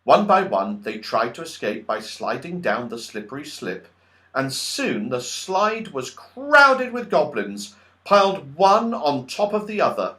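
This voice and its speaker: A man narrating a children's audiobook in an expressive, dramatic and emphatic storytelling style. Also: mid-range voice, a little slow.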